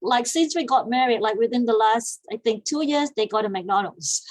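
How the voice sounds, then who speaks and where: A woman's voice: a little high, moderate pace, no room sound. A woman in her forties from Malaysia, a guest talking casually in an interview, chatty and laughing easily. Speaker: guest, business founder.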